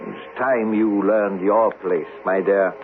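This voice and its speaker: A man's voice: fairly low pitch, steady tone, takes it slowly. A man playing a wizened, domineering army captain with an oily, insinuating, theatrical manner, in old-time radio melodrama style. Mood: sneering. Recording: tinny band-limited old radio broadcast, hiss.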